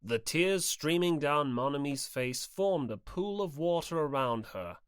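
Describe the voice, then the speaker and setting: A woman's voice: low voice, lively intonation, a little slow, clean tone, no room sound, clean studio sound. A woman reading scripted story narration as an audiobook narrator.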